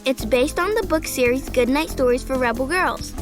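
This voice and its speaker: A woman's voice: high-pitched, normal speed. A woman announcer reading scripted end credits for a podcast. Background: clear music.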